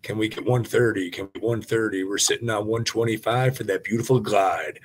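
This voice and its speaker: Husky, low-voiced man; auctioneer chant, playful rhyming patter, home video call. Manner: rapid rhythmic bid calling with rhyming banter. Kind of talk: live auction calling.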